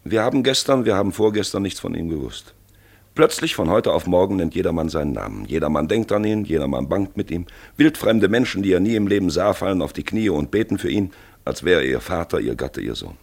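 A man playing a brooding, probing narrator in a 1950s radio play, with emphatic phrases and hushed asides. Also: sits low, big pitch swings, a little fast, heavily acted. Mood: intense, reflective. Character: brooding male narrator-guide, probing and dramatic. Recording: husky-sounding 1950s radio recording.

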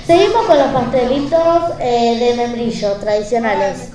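A woman's voice: on the high side, normal speed, warm tone. A woman hosting a radio show, reading out a recipe. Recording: echoey room.

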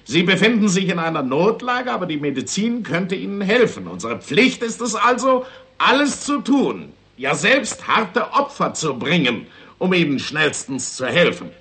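A legalistic male government minister in 1960s radio drama style. His voice sits slightly high and is bright and sharp, with hugely varied intonation. He argues precisely, sternly and formally. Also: very animated, moderate pace, exaggerated voice. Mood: formal, insistent.

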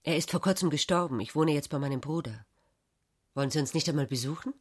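A woman's voice: low voice, fast talker, a little mellow, dry recording, studio quality. A woman playing a spirited, teasing, headstrong wife in a literary radio play, direct and provoking in manner. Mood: eager.

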